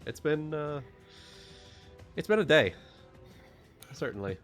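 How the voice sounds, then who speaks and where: A man runs an online tabletop game in a casual, unscripted style. His delivery is slow and halting with loose swearing, and faint music plays behind him. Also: on the high side, animated. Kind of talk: casual chat and off-the-cuff game recap. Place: online group voice call.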